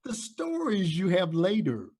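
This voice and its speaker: Guy cohost, kinda husky, voice swings up and down, just chatting. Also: sits high, wildly swooping pitch, unhurried, darkish tone. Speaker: interview co-host. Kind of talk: casual chat.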